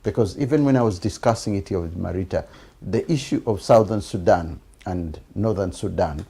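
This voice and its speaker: A man with a Ugandan accent hosting a political interview, speaking conversationally and haltingly, repeating words as he makes his point. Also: deep voice, creaky, warm tone, breathy edge. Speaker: TV programme host.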